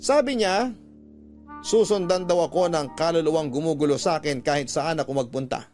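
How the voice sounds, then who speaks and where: A troubled young man played by an actor narrates his own letter in a Filipino radio drama. The voice is slightly high, measured and reflective, with soft music underneath. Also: lightly acted. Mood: weary. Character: troubled young male letter sender recounting his haunting.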